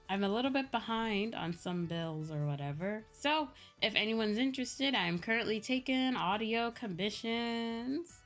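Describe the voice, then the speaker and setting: A woman's voice: fairly high pitch, a bit bright. A woman livestreamer chatting casually to her viewers in a playful, self-deprecating manner. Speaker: livestreamer, VTuber.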